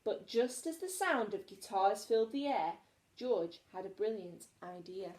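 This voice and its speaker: A woman's voice: medium pitch, measured pace, darkish tone. A woman teacher reading a children's story aloud expressively, recorded in an echoey room.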